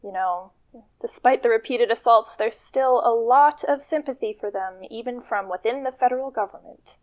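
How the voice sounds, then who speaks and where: A fairly high female voice on a slightly muffled recording. A woman acts out an ambitious, outspoken activist leader in a radio play, speaking in a natural, everyday manner. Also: normal speed, lightly acted. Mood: firm, guarded.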